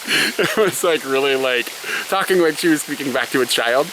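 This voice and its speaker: A man's voice: medium pitch, normal speed, background noise. A young man chatting casually to his viewers and answering their questions, a storyteller who fills his talk with "like" and laughs often. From United States. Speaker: vlogger, elementary school teacher.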